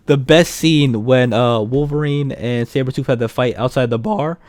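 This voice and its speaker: A man's voice: medium pitch, moderate pace, a little mellow. A man co-hosting a casual film review chat, blunt and free with swearing. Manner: blunt, profane. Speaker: podcast co-host reviewing films.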